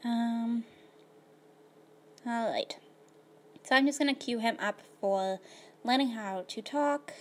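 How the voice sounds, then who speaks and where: A woman with a slightly hoarse voice, casually narrating her life-simulation gameplay at a computer, rambling with lots of fillers. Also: on the high side, slow pace, long pauses. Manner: rambling, filler-heavy, laid-back. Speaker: gaming video host.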